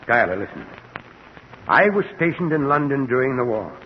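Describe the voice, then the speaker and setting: An actor playing an earnest, insistent middle-aged doctor and concerned old friend in an old-time radio drama. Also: male voice, medium pitch, big pitch swings, normal speed, close to an everyday voice. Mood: grave, persuasive. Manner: solicitous, probing, emphatic. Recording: dull reverberant vintage radio recording, faint noise.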